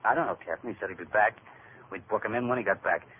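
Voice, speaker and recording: A man's voice: fairly low pitch, quick pace, natural voice. An actor playing an uneasy, respectful policeman reporting hesitantly to superiors in an old-time radio drama. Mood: careful. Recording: tinny, noisy vintage radio recording.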